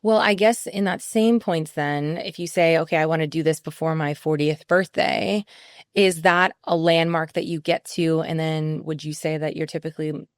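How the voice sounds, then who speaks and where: A woman with a slightly low voice hosts a podcast. She talks casually and agrees often, and the sound is dry and close to the mic. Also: fairly even intonation, normal speed, dry recording. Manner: casual, agreeable, frequent "yeah". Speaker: podcast host, fitness coach. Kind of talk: conversational podcast interview.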